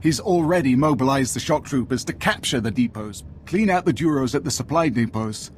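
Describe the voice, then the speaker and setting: A man's voice: mid-range voice, lively intonation, fairly quick, close to an everyday voice. A stern Imperial military commander from a game cinematic, acted by a man. He speaks in a middle register with clipped, scornful authority, over faint background noise. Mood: stern, briefing. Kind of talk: dramatic video game acting.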